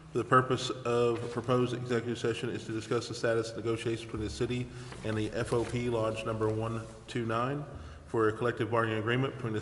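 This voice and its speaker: A man's voice: medium pitch, a bit bright. A man chairing a council meeting, formally reading out agenda items in a procedural, official way. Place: indoor council chamber. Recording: echoey council chamber, faint noise.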